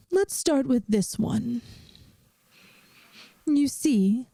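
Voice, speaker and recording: A woman playing a centuries-old vampire math teacher in an ASMR roleplay, gentle, wry and reassuring, with a soft, soothing, teacherly manner. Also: high-pitched, no room sound, exaggerated voice. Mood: patient.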